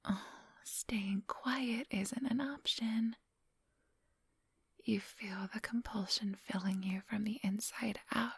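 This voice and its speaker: Woman acting out a slow, whispering, menacing vampire woman. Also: medium pitch, steady tone, no echo, exaggerated voice. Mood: mocking, coaxing. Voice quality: breathy whisper. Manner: slow, condescending, coaxing, commanding. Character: menacing female vampire, coolly hypnotic and controlling. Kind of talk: ASMR roleplay, whispered dramatic acting. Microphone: close, dry microphone.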